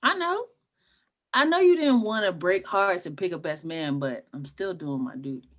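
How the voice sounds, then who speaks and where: A woman's voice: fairly low pitch, lively intonation, moderate pace, close to an everyday voice. A woman acting in a table read as a blunt, protective, skeptical friend of the groom, talking in direct, casual slang. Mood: insistent concern.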